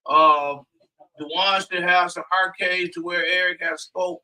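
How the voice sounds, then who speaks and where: Co-host, man: loud, emphatic, rambling, high-pitched, set back from the mic. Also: fairly even intonation, measured pace, distant mic, rough recording. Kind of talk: casual community talk.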